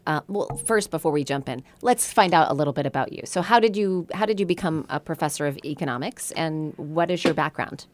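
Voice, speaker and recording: A woman co-host on a radio talk show with a very low voice. She chats easily and repeats words as she works toward her question. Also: brisk, few pauses, no room sound. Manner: conversational, repeats words while thinking.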